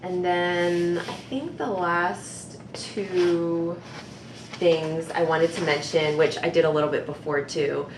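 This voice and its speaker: A young woman telling personal stories in an earnest, reflective way, often pausing with "like" and "um.". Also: steady tone. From United States. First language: Vietnamese. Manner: earnest and reflective, many "like" and "um" fillers. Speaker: communications director. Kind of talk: personal storytelling to camera. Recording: roomy echo with low rumble.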